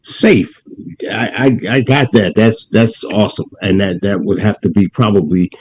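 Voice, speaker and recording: A man's voice: on the low side, steady tone, unhurried, breathy edge. A male talk show host asking his guest questions with enthusiasm, often repeating words as he frames them. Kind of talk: interviewing a guest.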